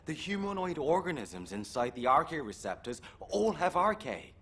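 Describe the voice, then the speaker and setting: An actor playing an exasperated, grieving military man in a video game, pleading emotionally in a husky voice. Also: fairly high pitch, very animated, unhurried, fairly bright tone, slight background noise, heavily acted. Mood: urgent pleading. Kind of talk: dramatic game cutscene acting.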